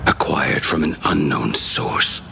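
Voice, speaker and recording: A man's voice: rumbling low, slow pace, gravelly, music in the background, full character voice. An actor playing a grave, unrepentant man in a game, speaking with formal, solemn deliberation. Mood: solemn, resolute. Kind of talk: dramatic game dialogue.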